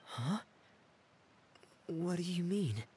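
A man's voice: sits high, expressive, slight background noise, exaggerated voice. Shy, hesitant teenage boy. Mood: apologetic. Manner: hesitant, quiet, halting. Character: shy, subdued teenage boy, apologetic younger brother. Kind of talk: video game voice acting. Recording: game music under dialogue.

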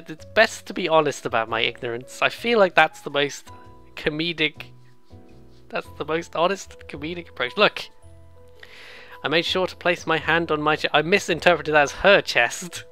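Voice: medium pitch, sharp tone. A let's play host commenting casually on a game, rambling and joking at their own expense while reading bits aloud. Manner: rambling, jokey, self-deprecating.

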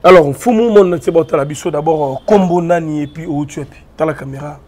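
A male Congolese TV journalist hosting an interview, putting quick questions to his guest and greeting viewers. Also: a little high, darkish tone.

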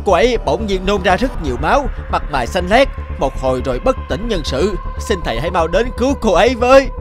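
Desperate cartoon villager, high pleading voice, anxious, music underneath. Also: male voice, big pitch swings, fast. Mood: panicked pleading. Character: panicked peasant husband begging for help. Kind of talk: animated drama acting.

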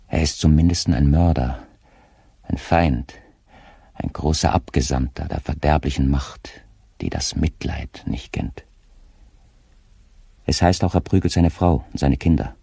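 An actor playing a mentally disturbed, paranoid magistrate, brooding and halting as he reads his haunted diary in an audio play. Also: very deep voice, gravelly, dark tone, breathy edge, no room sound, full character voice. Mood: grimly scheming.

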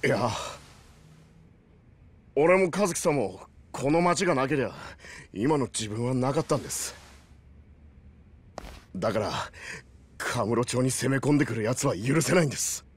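Game role: dejected, loyal young man, husky mid-pitched voice, earnest and respectful. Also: expressive, moderate pace, long pauses. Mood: dejected, frustrated. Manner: respectful, frustrated. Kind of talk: Japanese video game cutscene acting.

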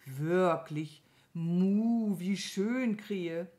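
A woman voicing a gentle, affectionate, dreamy cow in a children's storybook, with a mild, mooing manner. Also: fairly low pitch, extremely slow, voice pushed up. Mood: dreamy, delighted.

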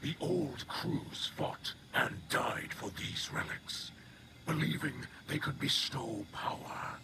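Whispery, solemn alien elder. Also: male voice, medium pitch, animated, heavy background noise. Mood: grave, troubled. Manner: slow, weighty, formal. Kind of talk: video game dialogue. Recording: game audio with music behind.

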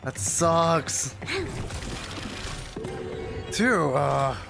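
A man's voice: a little high, drawn-out words, vocal fry, music in the background. A young adult man reacting casually to gameplay as a streamer, muttering to himself and swearing.

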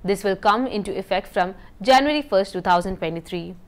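Young woman, twenties, scripted news reading, measured delivery, studio mic. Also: medium pitch, lively intonation. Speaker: news presenter. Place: indoor TV news studio set.

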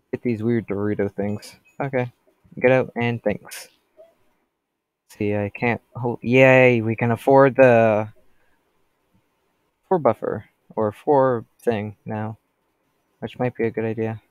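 A man's voice: mid-range voice, fairly even intonation, slow pace, pauses often, smooth, dry recording. A teenage boy with a stuffy cold voice, rambling casually as he comments on his gameplay. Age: teens. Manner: chatty, rambling, talks to customers.

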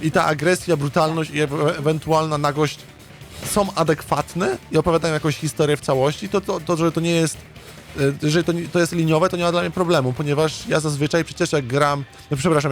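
A man's voice: fairly high pitch, fairly quick. A Polish man giving casual, rambling game commentary and chatting with viewers in blunt, sweary language. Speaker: gaming streamer playing a visual novel. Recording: game music behind the voice.

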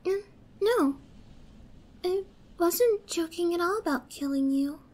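A woman playing a young, obsessed, possessive girlfriend in an ASMR roleplay, sweet yet menacing, with a soft girlish voice and a coy, drawn-out delivery. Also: very high-pitched, expressive, heavily acted. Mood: coy, gentle. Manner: slow, coy, drawn-out, slipping into whispers.

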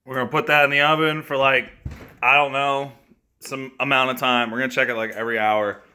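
Young guy vlogging in his kitchen, phone at arm's length, crude deadpan jokes, swears a lot. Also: medium pitch, fairly quick, clean tone. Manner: crude jokey deadpan asides, swears freely. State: hungover. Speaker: home cooking vlogger. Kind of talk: comedic cooking video. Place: home kitchen, indoors.

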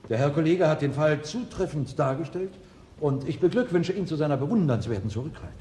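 A man's voice: a little high, expressive, fairly quick, mellow, slight background noise, close to an everyday voice. A man playing an eloquent, combative defence lawyer in a radio play, speaking formally and insistently. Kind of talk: 1970s German radio play acting. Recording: echoey reverberant hall, old radio production.

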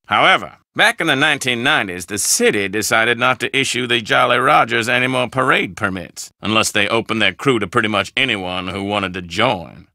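Gravelly, theatrical old doctor. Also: male voice, mid-range voice, animated, bright, forceful tone, no echo, clean studio sound. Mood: dry, musing. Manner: formal, deliberate, theatrical phrasing. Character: pompous, gloomy old Southern doctor, the dead man's friend. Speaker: video game character. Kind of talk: theatrical game dialogue.

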